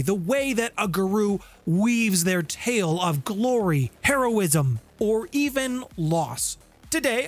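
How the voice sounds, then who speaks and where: A man in middle age presents a scripted explainer with theatrical emphasis. He pauses for effect between phrases and speaks close to a studio microphone. Music plays behind his voice. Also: high voice. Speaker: host of a tabletop gaming channel. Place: small home room with guitars on the walls. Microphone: close studio condenser mic with pop filter. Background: background music.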